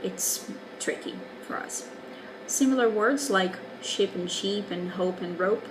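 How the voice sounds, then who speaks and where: A woman's voice: medium pitch, slow pace, background noise. A woman in her twenties from Brazil, a native Portuguese speaker with a Brazilian accent, working as an English teacher and frankly explaining things in a casual video reply. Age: 20s. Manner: candid and wry, explaining patiently.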